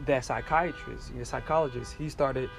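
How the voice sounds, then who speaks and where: A man's voice: medium pitch, normal speed, gravelly, faint music behind. A young man whose first language is French and who grew up in France, talking in a reflective, rambling way and leaning on "you know" a lot. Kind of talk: casual conversation.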